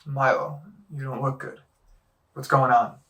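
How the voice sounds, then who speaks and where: A man's voice: mid-range voice, flat delivery, unhurried, bright, forceful tone. A man playing a concerned, frustrated doctor in an audio drama, speaking in an earnest, pressing way. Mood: concerned, probing.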